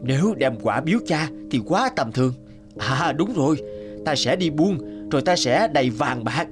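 A man's voice: fairly high pitch, expressive, normal speed, long reverb tail, lightly acted. Greedy big brother cartoon guy voiced by a man, sly n blustery, pompous, music under it. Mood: smug, scheming. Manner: blustering, sly, muttering to himself. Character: scheming, greedy, pompous elder brother in a folk tale. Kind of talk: animated folk tale dialogue. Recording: music bed behind the voice.

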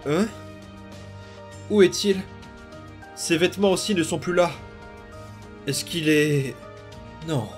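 A man's voice: on the high side, takes it slowly, pauses often, soft background music. A man playing a bitter, wounded demon boy in a dramatic comic dub, brooding and menacing. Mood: hurt, bitter.

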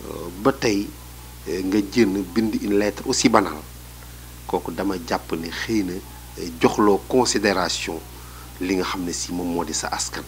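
A middle-aged Senegalese man answering a street interview indoors, measured and hesitant with many fillers. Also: deep voice, slight background noise. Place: indoors in a small room.

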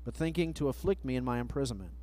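Man, middle-aged, preacher, pulpit microphone, sermon, measured scripture reading, average pitch. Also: animated, dark tone. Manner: measured, reads verses slowly, trails off at phrase ends. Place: church sanctuary, at a pulpit. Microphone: pulpit microphone, far camera.

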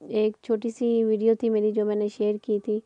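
A middle-aged woman talks through home cooking in a loose, conversational way. Her voice sits a little high but is warm and dark in tone, with little melodic movement. The sound is close and dry, like an informal voice-over. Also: monotone, moderate pace, no room sound. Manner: conversational, rambling. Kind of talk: casual chatty cooking voice-over.

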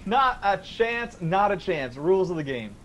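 A man plays a cheerful young grown-up son in a radio drama. His voice is fairly high, with a cocky, bantering delivery and faint rain in the background. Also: sing-song, a little slow, fairly bright tone, a little hiss or hum, exaggerated voice, rough recording. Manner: breezy, bantering.

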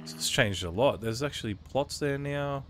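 A man with a cold casually commentating his own gameplay, reading on-screen prompts aloud and thinking out loud as he plays. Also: medium pitch, lively intonation, moderate pace, a little hiss or hum. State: has a cold. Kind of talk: casual let's-play commentary.

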